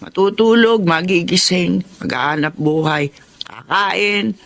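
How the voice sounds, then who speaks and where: A woman's voice: fairly low pitch, a little slow, creaky. A woman playing a doting, emotional elderly grandmother in a Filipino radio drama, speaking expressively and affectionately. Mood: wistful.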